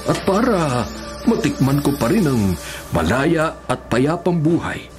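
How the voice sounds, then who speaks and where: An actor playing a devout, patient elderly prisoner in a radio drama, with a Filipino accent and an earnest, preachy, measured way of talking. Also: male voice, fairly high pitch, big pitch swings, music in the background. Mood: earnest, pleading. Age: older. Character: kindly, patient, devout elderly male prisoner giving counsel.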